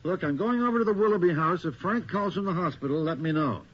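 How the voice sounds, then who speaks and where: Swooping, sardonic sceptical cop. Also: male voice, high-pitched, expressive, fast talker. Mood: irritated, wry. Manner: sardonic, grumbling. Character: gruff, sceptical, wisecracking male police detective. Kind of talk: radio drama acting. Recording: muffled, noisy radio drama recording.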